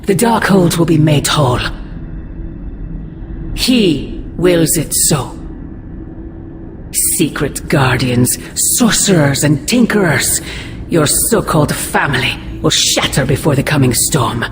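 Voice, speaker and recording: A woman's voice: deep voice, lively intonation, slow pace, pauses often. A woman voicing an ancient, imperious sorceress mother in a video game, speaking in grandiose, formal, ominous phrases. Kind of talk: dramatic video game cutscene acting. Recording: loud music underneath.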